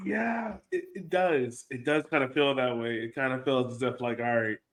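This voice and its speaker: A man's voice: medium pitch, normal speed. An American man hosting a podcast, chatting casually about sports as a fan. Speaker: podcast host.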